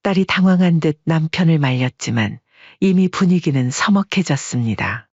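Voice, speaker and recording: A steady narrator tells a family drama in a calm, even way. The voice is husky and mid-pitched. The recording is dry and a bit dull. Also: moderate pace, no room sound. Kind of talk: scripted storytelling narration.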